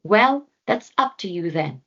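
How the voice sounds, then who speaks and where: A woman's voice: fairly low pitch, very animated, unhurried, sharp tone, lo-fi sound, pitched above the performer's own voice, full character voice. A woman voicing a sly, coaxing panther in a storybook, bargaining and grumbling.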